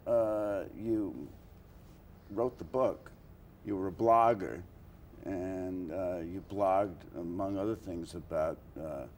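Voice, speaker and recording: A man hosting a conversational interview, asking questions in a halting, hesitant way. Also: sits low, darkish tone, slight background noise. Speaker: interview show host.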